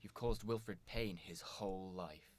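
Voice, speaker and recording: A man's voice: low-pitched, takes it slowly, no echo. A man playing an indignant police inspector in a radio drama, intense and accusing. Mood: shocked accusation. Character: indignant male police inspector confronting a killer.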